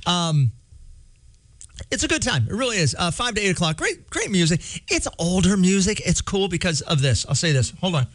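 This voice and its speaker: A man's voice: sits high, very animated, normal speed, fairly bright tone, no echo. A man working as a radio DJ, chatting casually and a bit rambling while losing his voice. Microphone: studio mic.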